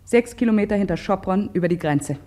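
Woman reading diary bits in a radio play, terse, measured, middle pitch. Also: animated, warm tone. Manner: terse, matter-of-fact, measured. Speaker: diary reader. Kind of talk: scripted radio drama narration, diary reading.

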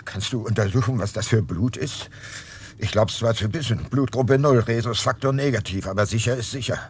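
An actor playing a dogged, wry private detective talking in a dry, matter-of-fact way in a crime radio drama. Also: male voice, mid-range voice, fairly quick, close to an everyday voice. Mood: explaining, intent. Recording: noisy background ambience.

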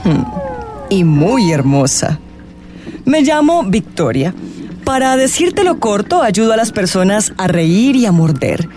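An actress with a Colombian accent playing a mysterious, self-assured tarot reader in a radio drama, theatrical and sardonic. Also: female voice, mid-range voice, sing-song, unhurried, darkish tone, soft background music. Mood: flirty, playful.

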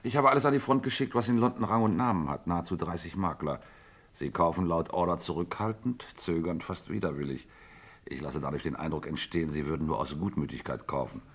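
An actor playing a cool, efficient aide to a scheming financier in a vintage German radio crime play, dry and precise. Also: male voice, low voice, a little fast, husky. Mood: confident.

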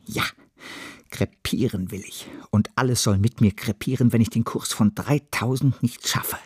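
An actor playing an obsessed, desperate financier in a radio drama, with a husky, breathy voice and intense, halting delivery. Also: male voice, on the low side, darkish tone. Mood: fanatical, defiant. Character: obsessed, desperate financier fighting to drive shares up. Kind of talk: German radio drama acting.

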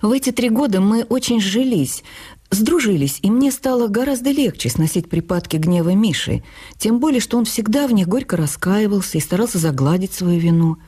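A woman narrating a literary memoir for radio drama in an intimate, wistful and expressive manner. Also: mid-range voice, moderate pace, breathy edge.

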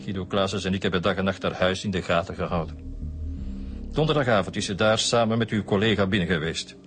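A man's voice: low voice, fast, fairly bright tone, soft background music. A man with a Flemish accent playing an aging career thief and smuggler in a radio drama, confessing in a colloquial, self-justifying way. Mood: defensive, confessing. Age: older.

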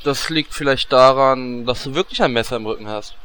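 A man's voice: mid-range voice, fairly quick, fairly bright tone, a little hiss or hum, lightly acted. A man voicing a crude, wisecracking male adventurer who makes sardonic comments.

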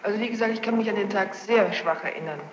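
A woman's voice: mid-range voice, fast. A young woman giving courtroom testimony as a witness, defensive and insistent, often repeating herself. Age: young adult. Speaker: witness under questioning. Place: large reverberant courtroom. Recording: muffled, noisy archival courtroom tape, echoing hall.

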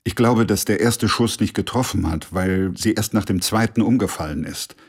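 A man's voice: on the low side, moderate pace, slightly rough, no echo. An actor playing a factual, detached male witness giving an official statement in a radio drama. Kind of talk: radio drama, documentary report.

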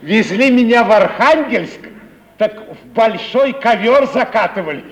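A man's voice: sits high, lively intonation, a little slow. An actor playing a shabby, chatty wandering male comic in a 1950s radio play, meek, deferential and plaintive. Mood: chatty, rueful. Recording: dull, faintly noisy 1950s radio recording.

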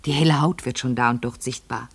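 Husky, creaky, obsessive vain lady. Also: a bass voice, steady tone, fairly quick, exaggerated voice. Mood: feverish, yearning. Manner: theatrical, intense, addressing a servant. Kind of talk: literary German radio play monologue.

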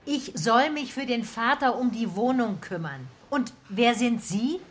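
An actress playing a matter-of-fact private detective in a German radio drama, politely probing in a dry way. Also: female voice, on the high side, animated, a little slow.

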